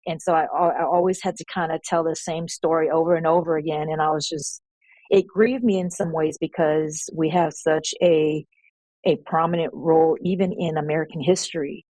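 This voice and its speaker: A woman reflecting slowly and softly in a podcast interview about contributing to a book. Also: low voice, steady tone, no echo, poor audio.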